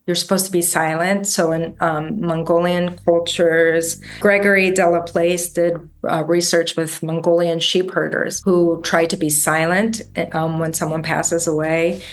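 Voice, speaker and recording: A woman whose first language is Greek, a guest researcher explaining ideas in a thoughtful, conversational way with frequent self-corrections. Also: lowish voice. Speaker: guest, book editor and researcher.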